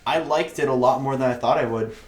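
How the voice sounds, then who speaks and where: Echoey young podcast host. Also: male voice, mid-range voice, a little fast. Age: 20s. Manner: swearing, excitable, laughs often. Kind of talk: casual chat. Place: large empty echoey room. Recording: echoey empty room.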